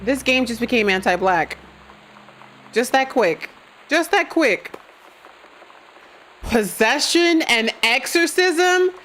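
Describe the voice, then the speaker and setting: A woman streaming a game live, expressive and reacting out loud, with some swearing. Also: high-pitched, slow pace, long pauses, poor audio. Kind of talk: live gameplay commentary.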